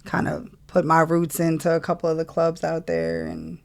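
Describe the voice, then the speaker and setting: Gravelly-voiced New woman. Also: sits low, normal speed. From New Orleans, USA. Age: 40s. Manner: relaxed storytelling with laughs. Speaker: guest, music manager. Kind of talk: casual podcast interview.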